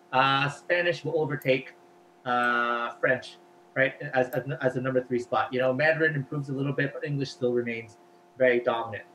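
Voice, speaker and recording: A man's voice: fairly high pitch, fairly bright tone, a little hiss or hum, low-quality recording. A man whose first language is Cantonese, chatting as an interview guest and explaining at length with many fillers. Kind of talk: casual interview talk.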